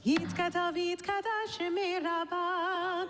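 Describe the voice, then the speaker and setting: A woman leading a synagogue service, speaking earnestly and singing and chanting slow, heartfelt melody lines. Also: extremely high pitch, steady tone, fairly bright tone, big-room sound. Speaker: cantor or song leader at a synagogue service. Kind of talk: introducing a song, then singing and chanting liturgy. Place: synagogue sanctuary, live broadcast. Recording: live service broadcast with music. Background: accompanying music.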